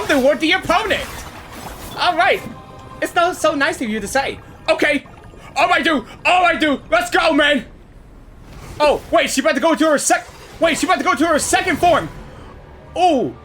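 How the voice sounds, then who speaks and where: A man reacting to a boss fight as he plays, exclaiming and shouting constantly in excitement. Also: very high-pitched, normal speed, long pauses, faint music behind. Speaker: gaming channel host. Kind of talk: live gameplay reaction.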